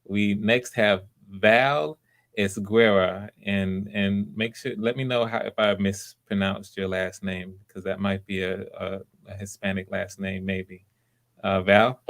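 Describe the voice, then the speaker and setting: A man's voice: on the low side, steady tone, a little slow, fairly bright tone. A man hosting live voice auditions, speaking casually and giving encouraging feedback.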